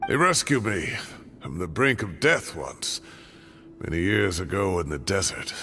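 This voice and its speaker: An actor playing a weary, secretive old scholar in a video game, speaking in a grave, measured and dramatic way. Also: male voice, deep voice, sing-song, bright, forceful tone, a little hiss or hum, exaggerated voice. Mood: solemn, confessional. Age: older. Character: weary secretive old male scholar, guilt-laden mentor.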